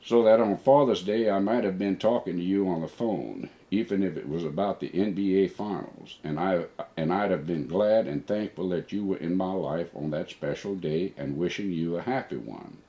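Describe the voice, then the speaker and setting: Older male, father figure with a very deep voice, for personal letter readings and reflections. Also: normal speed, few pauses, dark tone.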